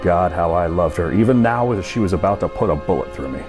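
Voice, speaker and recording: A man playing a brooding, lovelorn noir hero who narrates his own doom in a grave, measured old-time radio suspense style. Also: deep voice, animated. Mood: ominous, brooding. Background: music and storm sound effects.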